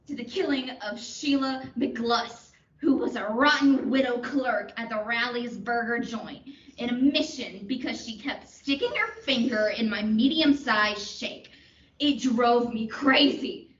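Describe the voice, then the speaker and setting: Young woman playing a high-pitched, unhinged confessing killer. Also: fairly bright tone. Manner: melodramatic, theatrical. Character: crazed, boastful confessed killer, melodramatic and unhinged. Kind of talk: comic radio play acting. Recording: dull, roomy, distant microphone.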